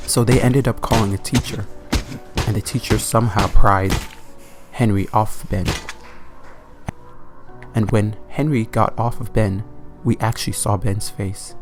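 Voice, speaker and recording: A man with a fairly low voice tells a story casually, swearing freely and leaning on words for comic emphasis. Soft music plays behind him as he narrates. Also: steady tone. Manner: profane, informal, drawn-out emphasis.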